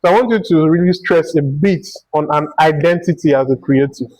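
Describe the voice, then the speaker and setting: A man's voice: a little high, a little fast, darkish tone, no echo, poor audio. A man with a Nigerian accent hosting a conversational interview, thinking aloud in long, winding turns. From Akwa Ibom, Nigeria. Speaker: interview host.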